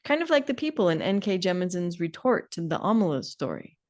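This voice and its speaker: A woman's voice: fairly low pitch, big pitch swings, a little fast, darkish tone. Sardonic, opinionated woman chatting casually. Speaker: podcast co-host. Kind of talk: casual conversational discussion.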